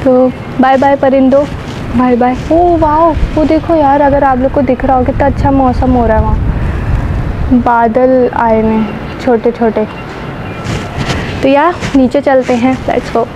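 A young woman with a hoarse, sore throat, vlogging casually to her viewers on a phone held at arm's length, rambling and giggly. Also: high voice, fairly even intonation, unhurried, noisy background. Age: young adult. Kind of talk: casual chatty vlog narration. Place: rooftop outdoors, then home kitchen.

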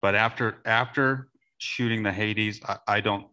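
A middle-aged man talks casually as a panelist at a table. His voice sits fairly low, with a bright, forceful edge that cuts through clearly. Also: fairly even intonation, unhurried. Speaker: panelist, airgun shooter. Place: panel table with several people at an expo.

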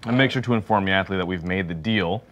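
Low, matter-of-fact male player. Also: fast talker, fairly bright tone. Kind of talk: in-character tabletop play.

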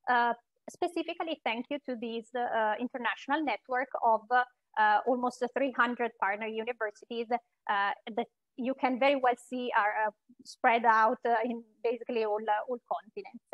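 A woman giving a webinar presentation over a video call, speaking in a hesitant, halting way as a university presenter. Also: a little high, fairly even intonation, dry recording. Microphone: video call microphone. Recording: dull, lo-fi online call audio.

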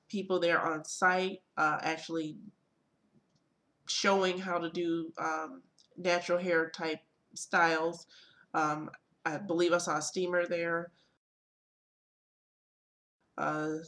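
Soft-spoken woman chatting to her webcam. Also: low-pitched. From Michigan. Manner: relaxed, chatty, many ums and uhs. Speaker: vlogger. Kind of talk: casual product haul and event recap. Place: small home room, indoors. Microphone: webcam at desk.

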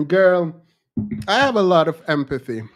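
A man in his thirties hosting a casual livestream commentary, speaking in an animated, sassy and colloquial way into a close desk mic. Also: on the high side, measured pace. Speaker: livestream host. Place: small indoor home studio. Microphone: close desk microphone.

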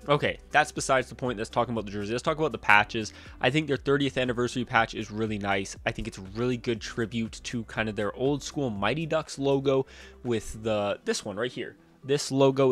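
A man's voice: mid-range voice, fairly bright tone. A young man in his twenties, a bit stuffed up from a cold, chatting opinionatedly about hockey jerseys into a desk mic in a small room. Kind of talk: casual vlog commentary. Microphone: desk mic on a boom arm.

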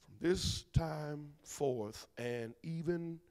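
Man in his forties, pulpit preacher on a handheld mic, fairly high voice, booming then hushed, ribbing the pews, long church-hall echo. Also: drawn-out words. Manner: animated preaching, bursts loud then drops soft, teasing the congregation. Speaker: minister. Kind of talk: sermon. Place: large church sanctuary.

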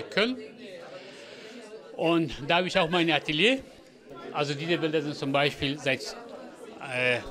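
A man born in Afghanistan, an artist, talking about his paintings into a handheld mic at a gallery opening, halting with many fillers. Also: on the high side. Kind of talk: interview statement. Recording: crowded gallery with music. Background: music and crowd chatter.

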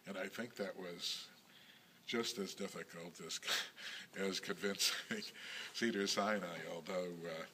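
An older man, a synagogue president, talking in a measured, halting way with frequent pauses and self-corrections. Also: low voice, steady tone, bright, forceful tone, slight background noise. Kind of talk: recorded interview reminiscence.

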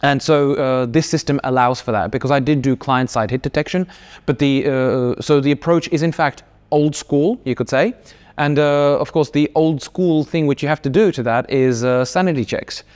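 A man's voice: mid-range voice, normal speed, a little mellow, clean tone. A man explains technical ideas at an easy, conversational pace. He stumbles through fillers and restarts as he works out his points. He sounds like he is talking across a desk in an office interview. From Australia. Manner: explains with lots of fillers, restarts and hand gestures. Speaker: game developer. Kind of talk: casual interview answers. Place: indoor office studio.

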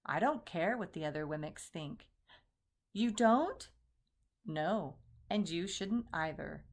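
A woman voicing a kindly, deep-voiced bearded old wood carver who speaks slowly and softly. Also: expressive, exaggerated voice. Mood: reassuring. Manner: slow, soft, kindly.

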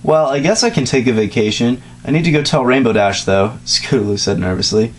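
A man's voice: medium pitch, animated, a little fast. A young man doing an informal dramatic reading of a story, stumbling over words and breaking off for casual asides. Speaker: reader. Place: small dim room indoors, on a couch. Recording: noisy home recording with hum.

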